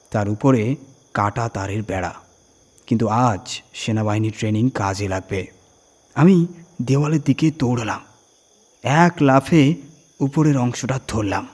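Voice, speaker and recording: A medium-pitched male storyteller narrates a scripted horror tale. He delivers it dramatically, phrase by phrase, pausing for suspense. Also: a little mellow.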